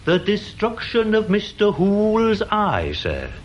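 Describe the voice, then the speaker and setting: An actor playing a stolid, literal police sergeant who reports in a formal, deferential manner in a radio drama. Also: male voice, high voice, wildly swooping pitch, slow pace, heavily acted. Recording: dull old radio recording, faint noise.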